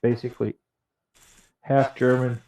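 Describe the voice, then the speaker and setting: A man's voice: mid-range voice, little pitch movement, unhurried, darkish tone. A man from the United States chatting casually on a stream, laid-back and saying "dude" and "like" often. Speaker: guest on stream chat. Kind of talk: casual chat.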